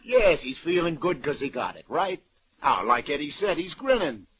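An actor playing a hard-boiled police detective, brisk and questioning, in 1950s radio crime drama style. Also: male voice, a little high, very animated. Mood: insistent, soothing. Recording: dull vintage radio recording.

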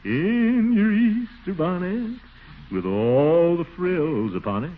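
Pompous excitable businessman, American, highish swooping pitch, theatrical, husky, vintage radio comedy. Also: takes it slowly, exaggerated voice. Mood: boastful, enthusiastic. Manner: theatrical, swooping exclamations and chuckles. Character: pompous, blustering, excitable middle-aged small-town businessman. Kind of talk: 1940s radio comedy acting. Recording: muffled vintage 1940s radio broadcast.

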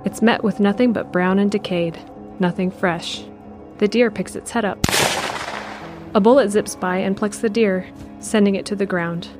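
A woman narrating an audio drama in a measured, descriptive way. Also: medium pitch, steady tone, music in the background. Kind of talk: scripted narration.

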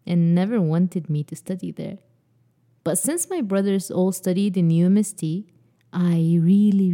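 Young woman hosting, dark mellow voice. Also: mid-range voice. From Sudan. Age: young adult. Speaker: podcast host. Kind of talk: scripted podcast conversation.

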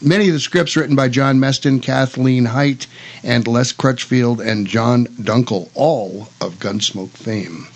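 A man's voice: medium pitch, a little slow. An American man hosting a radio show, talking casually and making announcements.

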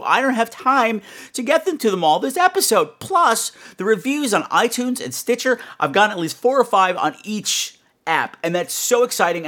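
A man with a fairly high voice that swoops up and down with great liveliness. He hosts a podcast as a casual monologue. His manner is playful and exclamatory, and he likes to repeat a phrase for effect. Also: fairly bright tone. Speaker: podcast host.

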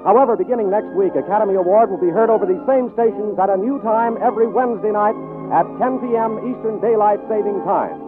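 An American man reading a script formally as a 1940s radio announcer, with measured enunciation. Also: high-pitched, phone-quality sound, over music. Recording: thin vintage radio broadcast.

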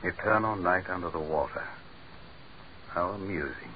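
An actor playing a haughty, sardonic gentleman passenger in a 1940s radio drama, with a dry and impatient manner. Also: deep voice, lively intonation, moderate pace. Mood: uneasy. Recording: muffled old radio broadcast with faint hiss.

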